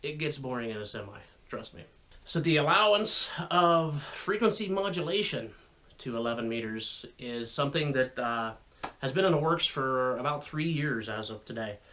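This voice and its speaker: A man's voice: mid-range voice, animated, moderate pace, pushed and bright. A man hosting a hobby video, talking casually and explaining things with wry, sardonic asides. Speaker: radio hobbyist video host. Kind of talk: casual explanatory vlog talk.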